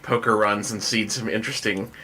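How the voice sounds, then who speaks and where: A man's voice: lowish voice, steady tone, a little fast, pushed and bright, a little hiss or hum, low-quality recording. A man chatting casually as a podcast host, with a joking, self-deprecating manner.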